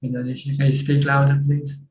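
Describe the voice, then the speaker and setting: Flat-voiced Thai monk on an echoey call. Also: male voice, mid-range voice, a little fast. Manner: plain, patient explaining with repeated words. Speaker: Buddhist monk teacher. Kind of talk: Dhamma Q&A over a video call. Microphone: video call. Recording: echoey video call audio.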